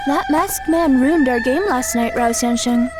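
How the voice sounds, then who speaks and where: A woman's voice: high voice, unhurried, music in the background. A woman playing a devoted, determined young female adventurer in an audio drama. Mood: vengeful.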